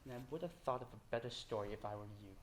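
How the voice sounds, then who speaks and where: A man's voice: medium pitch, expressive, rapid-fire, natural voice. Blunt ex-policeman, played by a man, noisy recording. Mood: suspicious. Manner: blunt, distrustful. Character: gruff, suspicious, stubborn male ex-policeman. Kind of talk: stage play acting. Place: stage performance. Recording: clearly noisy stage recording.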